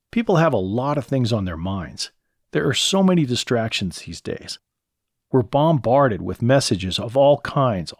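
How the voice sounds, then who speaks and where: A man's voice: mid-range voice, darkish tone, no echo, clean studio sound. An American man presenting a scripted solo podcast, giving practical step-by-step instruction as the host. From lives in California.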